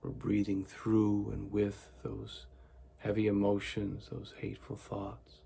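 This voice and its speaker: A man leading a guided meditation in a gentle, soothing, deliberate way, with a gravelly, husky voice. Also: lowish voice, completely flat delivery.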